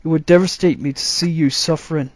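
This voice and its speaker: A man's voice: fairly high pitch, fairly even intonation, fast talker, no echo, natural voice. A man voicing a resolute, brooding young idealist in a storybook, earnest and assertive.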